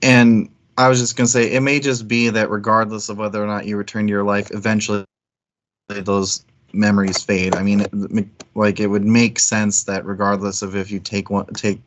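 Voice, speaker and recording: A man's voice: lowish voice, level tone, normal speed. A man co-hosting a book discussion stream, talking casually and frankly with easy swearing. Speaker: book club stream co-host. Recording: dull lo-fi streaming audio.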